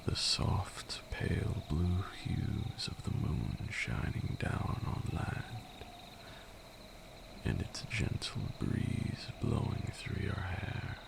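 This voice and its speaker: A man's voice: sing-song, very noisy, heavily acted. A man playing an ancient, brooding vampire lord in an intimate ASMR roleplay, seductive and menacing, with an archaic, commanding way of talking. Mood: calm, brooding. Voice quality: deep gravelly voice, breathy at times. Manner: archaic, deliberate, teasing and commanding.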